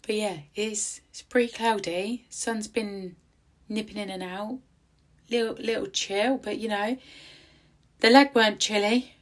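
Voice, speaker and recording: Chatty woman with a croaky voice. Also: mid-range voice, fairly even intonation, a little slow. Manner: chatty and rambling, with many ums. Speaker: tattoo artist. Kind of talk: casual vlog voice-over. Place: outdoors in quiet countryside.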